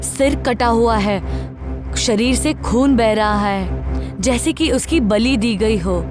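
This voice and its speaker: Woman telling a scripted horror story, highish voice, loud music under her. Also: slow.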